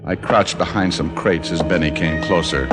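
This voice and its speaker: A man's voice: low-pitched, moderate pace, close to an everyday voice. An actor playing a sardonic, unflappable male private detective who also narrates, in 1940s radio drama style. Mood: tense narrating. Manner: sardonic, dry wit. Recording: old radio recording with loud music.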